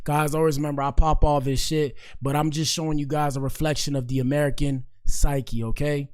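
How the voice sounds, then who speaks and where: A young man from Miami-Dade County with a hoarse, partly lost voice, hosting a casual podcast livestream. He rambles loosely in slang and speaks straight to his chat. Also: on the high side, little pitch movement, fairly quick. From Miami-Dade County, Florida. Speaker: podcast host.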